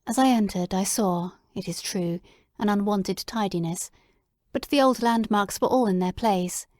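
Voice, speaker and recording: A woman narrating a classic story in a measured, descriptive way. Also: medium pitch, a little mellow, no echo, clean studio sound. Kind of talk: audiobook dramatic reading.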